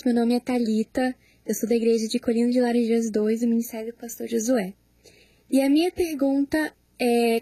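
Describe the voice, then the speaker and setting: A woman's voice: a little high, dark tone, no room sound. A woman, a church member, formally asking a question in a studio.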